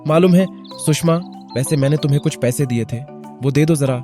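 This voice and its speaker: A man's voice: fairly high pitch, fairly quick, over music, close to an everyday voice. A man voicing a poor, kind village rickshaw driver in a cartoon, earnest and exclamatory. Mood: resigned. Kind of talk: Hindi cartoon voice acting.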